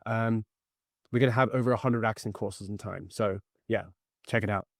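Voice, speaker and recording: Low-voiced man giving earnest interview answers. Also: steady tone, normal speed, no echo, clean studio sound. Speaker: podcast guest, actor and startup founder.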